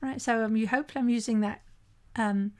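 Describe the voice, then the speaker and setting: Chatty woman with a bright voice. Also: fairly high pitch, fairly even intonation, a little fast. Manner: conversational, often asks "right?", occasional restarts. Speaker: podcast host and sober-living coach. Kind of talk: solo podcast monologue.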